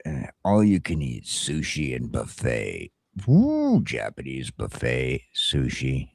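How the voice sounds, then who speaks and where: A man's voice: extremely low pitch, wildly swooping pitch, slow pace, creaky, no echo, studio quality. A middle-aged American man hosting a casual, comedic morning chat show, telling rambling stories with crude humor. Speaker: talk show host.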